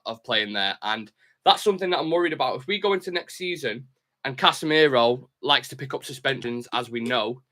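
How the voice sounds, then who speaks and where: A man's voice: mid-range voice, lively intonation, brisk, bright, forceful tone. A man hosting a football fan live stream, chatting casually and reading out viewer comments, blunt and opinionated. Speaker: host of a football fan show.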